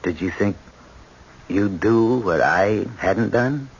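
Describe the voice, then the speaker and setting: An actor playing a grave, gentle middle-aged father in a radio drama, speaking in a slow, measured way. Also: fairly low pitch, husky, heavily acted. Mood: grave, consoling. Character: grave, gentle father consoling his grown son. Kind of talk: 1970s radio drama acting. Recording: muffled vintage radio recording.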